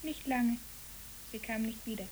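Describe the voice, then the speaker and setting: A woman playing a solemn child wanderer who talks in riddles, hushed and matter-of-fact, in a poetic German radio drama. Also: on the high side, unhurried, natural voice, poor audio. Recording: clear tape hiss.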